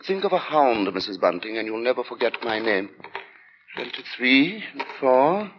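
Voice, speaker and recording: An actor playing an eccentric, devoutly religious gentleman lodger, formal, weary and quietly sinister, in a 1940s radio thriller. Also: mid-range voice, big pitch swings, moderate pace, background noise, lightly acted. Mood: polite, intense. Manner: formal, polite, scripture-quoting, abruptly stern. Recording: dull vintage radio recording with music.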